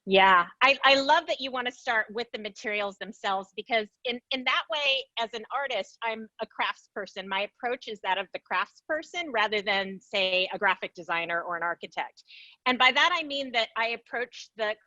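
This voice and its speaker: A woman's voice: a little high, animated, normal speed, bright, forceful tone, dry recording, lo-fi sound. A woman artist on a video call, explaining her knot craft with enthusiasm and frequent laughs. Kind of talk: casual explanatory talk.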